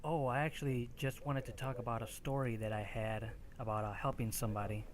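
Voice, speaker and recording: A man's voice: mid-range voice, level tone, brisk, a crowd in the background. A man phoning a radio show, talking casually and hesitantly on a faint line. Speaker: caller. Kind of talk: casual, hesitant phone talk.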